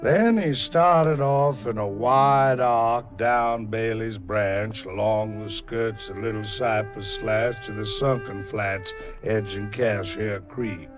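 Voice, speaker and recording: A man's voice: lowish voice, slow pace, hardly any pauses, over music. A man with an American accent giving intense, theatrical scripted narration in a 1940s radio drama style. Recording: dull old 1940s radio recording.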